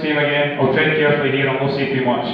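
A man's voice: on the high side, little pitch movement, fast talker. Echoey, far-off Scottish best man. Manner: joking, banter with listeners, casual swearing. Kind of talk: informal wedding speech. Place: large function room at a wedding reception. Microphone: handheld PA microphone, filmed from the audience. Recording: echoey hall from far off, muffled, crowd noise. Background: wedding guests' chatter and laughter.

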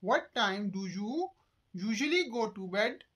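A woman voicing a friendly cartoon boy who asks clear, simple questions in a slow scripted practice dialogue. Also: mid-range voice, lively intonation, fairly bright tone.

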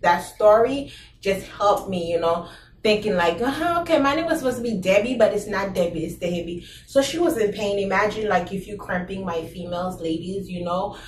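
A young woman from Haiti with a Haitian accent, telling stories casually to camera in a small room, animated and laughing, full of rhetorical questions. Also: mid-range voice, normal speed, slight background noise. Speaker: vlogger. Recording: roomy echo, slightly distant mic.

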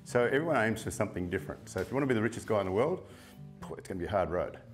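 A man's voice: lowish voice, expressive, racing, faint music behind. A middle-aged man, a horse farm owner, talking casually in an interview, rambling with fillers and restarts.

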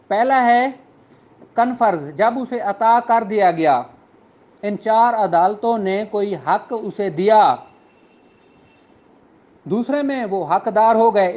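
High-pitched Pakistani man giving a lecture. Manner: didactic, switching between Urdu and English legal terms. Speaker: law lecturer. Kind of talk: explanatory lecture, reading statute aloud and explaining it. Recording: dull lo-fi recording.

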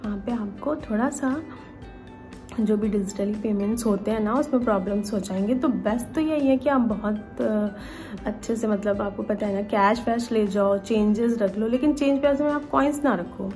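A young woman talks to her camera in a small room at home, chatty and explaining things step by step. Her voice sits at an ordinary middle pitch. Music plays clearly underneath her. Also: moderate pace, dark tone. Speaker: vlogger. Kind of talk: casual explanatory vlog. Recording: music playing behind voice.

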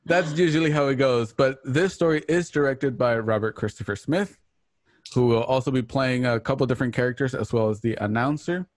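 A man's voice: medium pitch, fairly quick, dry recording, clean studio sound. A man hosting a livestreamed radio drama reading, introducing the show and its cast. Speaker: show host. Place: home, on a group video call.

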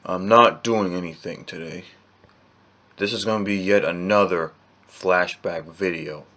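Young male vlogger, lowish voice, unhurried delivery, close webcam in a small bedroom. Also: pushed and bright. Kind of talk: casual vlog to camera.

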